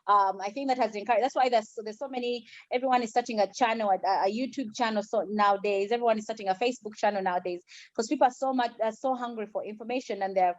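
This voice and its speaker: Female host for a conversational interview, higher-pitched, encouraging, repeats words for emphasis, muffled dry lo-fi sound. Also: quick pace, no room sound.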